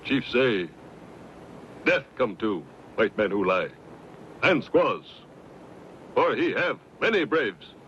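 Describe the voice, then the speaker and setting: Stern, halting Comanche interpreter. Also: male voice, mid-range voice, animated, fairly bright tone. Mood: menacing. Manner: halting, broken English, solemn pronouncements. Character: stern Comanche interpreter speaking broken English for his chief. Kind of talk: old western radio acting. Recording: dull lo-fi radio recording, faint noise.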